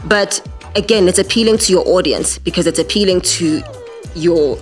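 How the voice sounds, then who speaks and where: A young woman with a deep voice teaching podcasting in a masterclass, earnest and direct, often repeating words as she thinks. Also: moderate pace, soft background music. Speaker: podcasting instructor.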